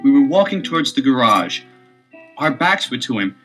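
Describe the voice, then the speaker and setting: Eager, bright-voiced teenage boy sleuth. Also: mid-range voice, very animated, moderate pace, faint music behind. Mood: earnest. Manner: eager, emphatic theatrical delivery. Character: eager teenage boy detective, the younger brother. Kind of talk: 1970s audio adventure acting.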